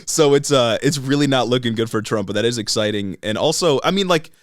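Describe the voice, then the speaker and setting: A young American man talks through the news as a podcast co-host. His voice sits at a middle pitch and is recorded very dry. He speaks informally, with slang and the odd swear word, as if filling in a friend. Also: a little fast, no room sound. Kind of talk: casual chat, explaining news.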